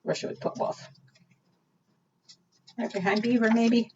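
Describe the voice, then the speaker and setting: A woman doing an expressive storytime read-aloud for preschoolers, often putting questions to her young listeners. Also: a little high, measured pace, a little hiss or hum. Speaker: children's storytime librarian. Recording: distant, dull lo-fi microphone sound.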